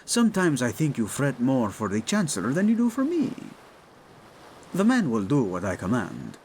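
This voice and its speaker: A man's voice: mid-range voice, huge pitch swings, darkish tone, a little hiss or hum. An actor playing a devoted, teasing king and husband in an intimate romantic audio roleplay, speaking tender endearments. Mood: reassuring. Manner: tender, playful, unhurried endearments.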